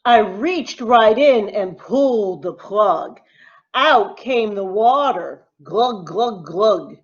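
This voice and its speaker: A woman teacher reading a rhyming picture book aloud to children in a deliberate, expressive, sing-song way. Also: mid-range voice, highs cut off. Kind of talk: expressive storybook read-aloud in rhyme.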